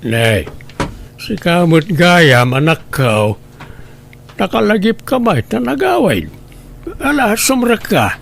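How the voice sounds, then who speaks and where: A man playing an older village father, worried and inquisitive, with drawn-out questioning lines in radio drama style. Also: high voice, sing-song, gravelly, fairly bright tone, a little hiss or hum, exaggerated voice. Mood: surprised, concerned.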